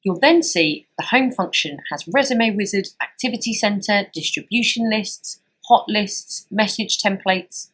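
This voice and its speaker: A woman narrating a scripted software tutorial as a trainer, explaining things step by step in an instructional way. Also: medium pitch, breathy edge, low-quality recording.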